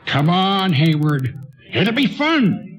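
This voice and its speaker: An eager old vaudeville comic, super high pitched, bouncy and cheerful, radio play. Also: male voice, very animated, slow, faint music behind, exaggerated voice. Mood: enthusiastic. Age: older. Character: eager old male vaudeville comedian, cheerful, money-minded. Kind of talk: radio play comedy acting. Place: stage before an audience.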